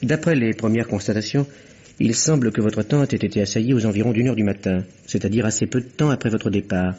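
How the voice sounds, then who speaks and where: An actor playing a courteous, probing police inspector in a radio mystery, polite and measured in his questioning. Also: lowish voice, mellow, breathy edge. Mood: courteous, businesslike. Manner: polite, measured questioning with hesitant, tactful probes. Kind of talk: French radio mystery drama acting. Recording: dull, muffled radio drama recording.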